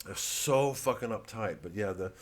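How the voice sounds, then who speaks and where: Low-pitched, rambling American comedian. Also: male voice. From United States. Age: middle-aged. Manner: halting, digressive, with repeated words and trailing asides. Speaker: stand-up comedian and satirist. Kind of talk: rambling casual monologue to an audience of fans.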